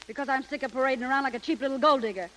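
Weary hurt young showgirl, guarded, high voice, echoey old 30s radio drama. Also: racing, fairly bright tone. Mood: bitter, upset. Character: proud, wounded, weary young showgirl, woman. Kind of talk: 1930s radio acting. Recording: reverberant 1930s radio recording.

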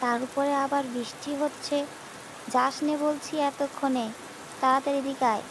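Woman as an anxious, high-voiced mother. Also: flat delivery, moderate pace, noisy background, lightly acted. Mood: scolding, worried. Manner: anxious, fretting. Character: worried, scolding village mother of a young girl. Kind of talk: cartoon voice acting. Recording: rain sounds over voice.

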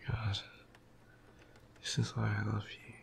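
A tired young man with a croaky voice, softly whispering an affectionate boyfriend roleplay close to the mic. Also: fairly low pitch, slight background noise. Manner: soft, affectionate whispering with quiet laughs. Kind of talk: ASMR roleplay.

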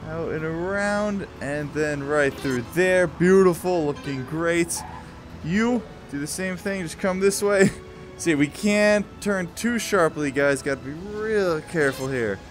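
A young man with a high voice hosts a gaming video in a casual, excitable way. He talks along as he plays and breaks into playful exclamations, with game music clearly audible behind him. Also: takes it slowly. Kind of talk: casual excited gameplay commentary.

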